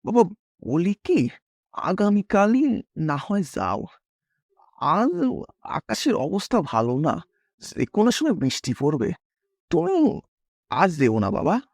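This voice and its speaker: A man acts out an elderly, kindly village tea server in an animated horror tale. His speech is rustic and deferential, with big swings in pitch and a worried fatherly care. The sound is dry and intimate. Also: takes it slowly, pauses often, dry recording, heavily acted. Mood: worried, pleading. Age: older. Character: kindly old village tea-serving uncle, humble, worried. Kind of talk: animated horror story dialogue.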